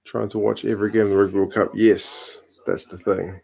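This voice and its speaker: A man, hosting a casual live stream from home, reading out viewer comments with a relaxed, chatty manner and dry humour. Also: lowish voice, fairly quick, vocal fry. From New Zealand. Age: 30s.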